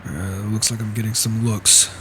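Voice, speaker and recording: A man's voice: fairly low pitch, steady tone, normal speed, fairly bright tone, close to an everyday voice. Man playing a wry wandering warrior, noisy mic. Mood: guarded, polite. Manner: musing to himself, muttered asides. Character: reclusive male warrior, wry, observant wanderer. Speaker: player roleplaying a character in a game. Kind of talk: in-character roleplay narration of a fantasy game. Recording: noisy, flawed home mic.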